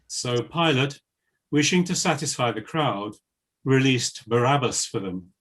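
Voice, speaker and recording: Male narrator for a scripture reading: husky, medium-pitched, grave and formal. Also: animated, fairly bright tone. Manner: measured, formal.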